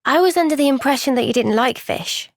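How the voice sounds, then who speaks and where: A woman with a British accent voicing an earnest, gentle, thoughtful young woman soldier in a video game cutscene. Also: high voice, brisk, dry recording, studio quality. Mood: concerned.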